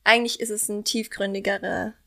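A woman's voice: mid-range voice, little pitch movement, fairly quick, fairly bright tone. A young woman from Franconia, a guest on a podcast, chatting casually and thoughtfully with friendly hedging. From Franconia, Bavaria, Germany. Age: young adult. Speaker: podcast guest, content creator.

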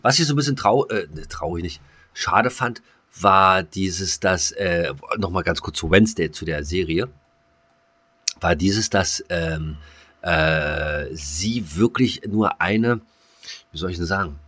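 Low-voiced hesitant man. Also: measured pace, fairly bright tone, no room sound. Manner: hesitant, many fillers and restarts. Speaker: podcast co-host. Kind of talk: casual chat. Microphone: headset.

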